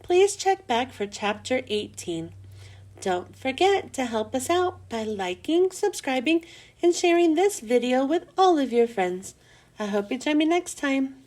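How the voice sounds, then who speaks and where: A woman hosting a children's read-aloud, telling a storybook with expressive, emphatic delivery. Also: sits high, measured pace.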